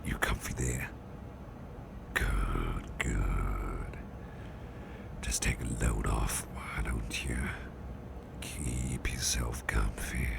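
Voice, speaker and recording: A courteous, soothing businessman boss, acted out by a man in an ASMR roleplay. His voice is extremely low and full of heavy fry, hushed almost to a whisper. He takes his time, gently repeating polite phrases, with noticeable noise behind him. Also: very slow, long pauses, heavily acted. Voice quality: very deep, gravelly, breathy near-whisper. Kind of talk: soft-spoken ASMR roleplay.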